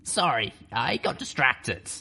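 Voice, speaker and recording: A man's voice: medium pitch, sing-song, slow, vocal fry, bright, forceful tone, slightly rough, voice pushed up, heavily acted. A man voicing a tough female griffon mercenary who speaks dry and matter-of-fact.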